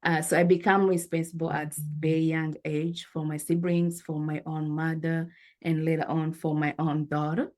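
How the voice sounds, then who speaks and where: A woman's voice: low voice, level tone, a little mellow. A woman in her forties from Rwanda, telling her life story as an interview guest in an earnest, reflective way. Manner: earnest, reflective, pausing with fillers.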